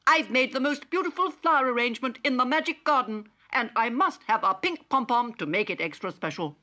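A woman's voice: animated, brisk, sharp tone. A woman doing an eager little robot boy. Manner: high, eager and pleading. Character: small male robot, eager and excited.

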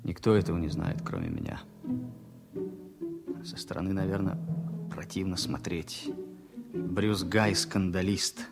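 Low-voiced anxious man with music behind. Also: animated, creaky, heavily acted. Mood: brooding, anxious. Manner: halting, brooding. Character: anxious self-pitying airline passenger man with a headache. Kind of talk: radio play inner monologue. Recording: music bed behind 1970 radio play.

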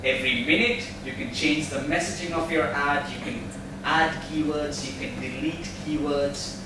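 Ein Mann hält am Rednerpult einen erklärenden Vortrag vor Publikum und stellt zwischendurch Fragen an die Zuhörer. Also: male voice, on the high side, steady tone, moderate pace. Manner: explanatory, asks the audience questions. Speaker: presenter at a tech meetup. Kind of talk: presentation talk. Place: large meeting room, speaking at a podium. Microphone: far camera mic. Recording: echoey room recorded from far off, noisy.

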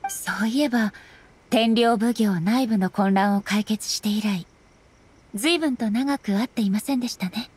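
A woman playing a solemn, dignified female ruler in an anime game, speaking formally and with measured politeness. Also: fairly high pitch, darkish tone, husky. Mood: composed. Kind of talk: anime game dialogue acting.

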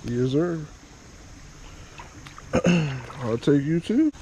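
Relaxed man muttering by a creek. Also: on the high side, extremely slow, slight background noise, low-quality recording. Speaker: fishing vlogger. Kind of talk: casual running commentary while fishing. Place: outdoors by a small creek under a bridge. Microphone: head-mounted action camera.

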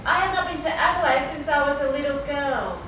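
A very echoey, noisy theatre recording from a distance. An actress plays a plain-spoken woman, someone's housemate, in a high voice. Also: expressive, fairly quick. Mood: wistful. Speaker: stage actor. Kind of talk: live stage monologue and dialogue. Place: theatre stage before a live audience. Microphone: far camera.

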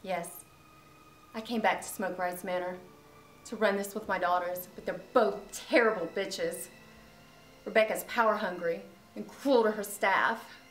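An actress playing a scheming mother calmly revealing her plan in a campy comedy. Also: medium pitch, unhurried, reverberant, slight background noise, close to an everyday voice, rough recording. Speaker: actor.

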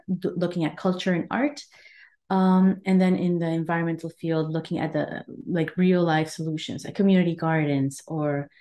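A woman from Mexico and Guatemala, a wellness coach, telling her story in an earnest, unhurried interview voice with pauses while she searches for words. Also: fairly low pitch, dark tone. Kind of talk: conversational interview.

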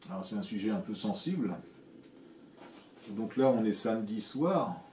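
A low, mellow-voiced man in his sixties from France talks to the camera from a home workshop. He explains in a measured, plain-spoken way, pausing often on hesitant fillers. The room sounds echoey, with a faint hum behind him. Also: animated. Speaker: electronics hobbyist, channel host. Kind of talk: casual explanatory talk to camera. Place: home workshop with shelves of equipment, indoors. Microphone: camera framing at desk, seated.

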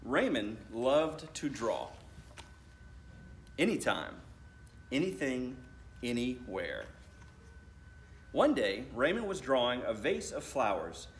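American man reading aloud, lively pitch. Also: slow, long pauses, slight background noise. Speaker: school principal reading aloud to children. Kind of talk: storybook read-aloud. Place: school library, indoors.

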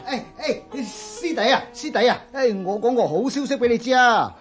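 Jovial, high-pitched chatty monk. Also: male voice, lively intonation, normal speed, fairly bright tone, faint music behind. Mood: excited. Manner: colloquial, jolly, exclamatory. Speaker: radio drama actor. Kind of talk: Cantonese radio drama acting.

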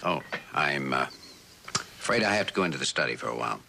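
An actor playing a driven, weary, idealistic scientist in a mid-century television drama, terse and authoritative. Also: male voice, extremely low pitch, animated, quick pace, gravelly, bright, forceful tone, a little hiss or hum, exaggerated voice. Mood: strained, apologetic.

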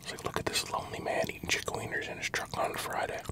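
A man in his thirties, an ASMR creator, whispering a loose, profane eating chat into a desk mic inside a parked pickup truck. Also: rapid-fire. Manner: whispering, loose and profane, chewing between remarks. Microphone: large desktop mic in the cab, GoPro camera.

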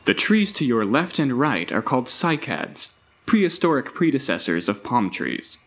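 A man's voice: mid-range voice, a bit bright. A man voicing a male park tour recording that welcomes visitors and explains the plants and animals.

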